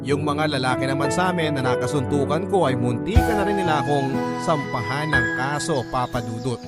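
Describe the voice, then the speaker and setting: Confessional radio drama narrator, male actor: medium-pitched, earnest and reflective, heavy music underneath. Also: lively intonation, a little fast, close to an everyday voice. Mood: confiding. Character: earnest male letter-sender recounting his life confessionally. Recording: loud music bed under voice.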